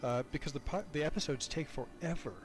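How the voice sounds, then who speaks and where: A man's voice: on the high side, fast talker, music in the background. A man being interviewed as a podcast creator, talking casually and reflectively in a self-deprecating, hesitant way, repeating words as he thinks.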